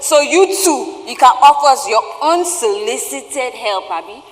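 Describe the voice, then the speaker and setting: A woman's voice: high-pitched, expressive, unhurried. Woman acting a suspicious shopkeeper, echoey hall. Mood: irritated. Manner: emphatic, repetitive. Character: irritable, suspicious woman shopkeeper, quick to interrogate. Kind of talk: theatrical stage play acting. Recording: echoey theatre hall, faint noise.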